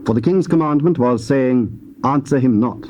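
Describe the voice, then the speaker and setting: Mellow British man narrating scripture. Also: mid-range voice. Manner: measured, formal, deliberate phrasing. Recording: old cassette with faint hiss.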